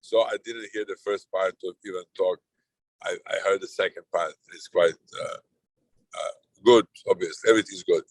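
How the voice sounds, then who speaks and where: A man's voice: low voice, steady tone, moderate pace, no echo, rough recording. A middle-aged man with a Serbian accent, chairing an online roundtable in a loose, rambling way with frequent restarts. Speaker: conference chair. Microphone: video call.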